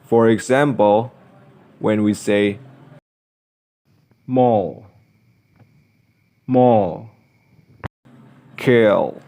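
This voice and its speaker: A young man reads a scripted lesson as a student presenter, enunciating carefully and deliberately. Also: lowish voice, gravelly, mellow. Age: young adult.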